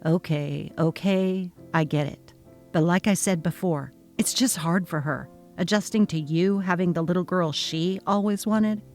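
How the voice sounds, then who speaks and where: Brief: woman reads young husband, placating and evasive, lowered voice, dramatic text-story narration. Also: measured pace, darkish tone, faint music behind. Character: worried young husband, placating and conflict-avoidant.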